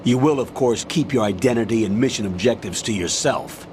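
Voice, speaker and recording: An actor playing a stern military colonel in a video game, giving orders in a firm, formal, authoritative manner. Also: male voice, mid-range voice, fairly quick, a little mellow, slight background noise, natural voice. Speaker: colonel, commanding officer. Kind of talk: video game voice acting, briefing.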